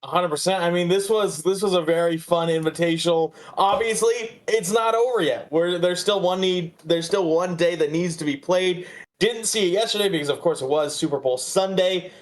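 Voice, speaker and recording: A man with a somewhat high-pitched voice talks as a sports podcast host. His analysis is casual and conversational. He often repeats a phrase while working out his next thought. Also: moderate pace.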